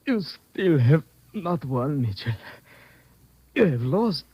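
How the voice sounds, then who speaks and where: A man acting excitable characters in an old radio drama. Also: on the high side, slow pace, husky, heavily acted. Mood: gloating.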